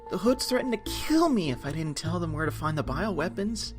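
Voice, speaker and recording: A man playing a nervous, evasive suspect in an audio crime drama, with a husky, hesitant delivery. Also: a little high, sing-song, fairly quick, music in the background. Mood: confessing. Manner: hesitant, stammering. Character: nervous, evasive male suspect under questioning.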